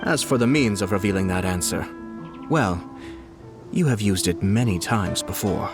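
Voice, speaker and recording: A composed young noble lord from a video game, with a fairly low voice. He speaks formally and deliberately, calm and calculating, with clear music playing behind him. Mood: calm, knowing. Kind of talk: video game character dialogue.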